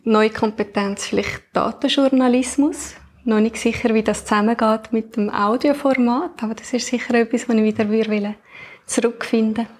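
A woman's voice: fairly high pitch, steady tone, normal speed, a little mellow, breathy edge. A young woman with a Swiss German accent chatting casually in an interview. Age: young adult. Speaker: new podcast team member.

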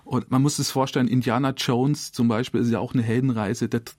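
A man's voice: mid-range voice, steady tone, fairly quick, darkish tone, no room sound, studio quality. A man speaking as a director in an interview, explaining colloquially and piling clause upon clause. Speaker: radio drama director, interview guest. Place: dry radio studio.

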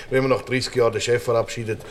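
An actor playing a modest, accommodating middle-aged shipping clerk with a Swiss accent in a radio play. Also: male voice, medium pitch, flat delivery, a little fast, slight background noise, natural voice. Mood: sheepish, friendly. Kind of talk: conversational radio-play acting.

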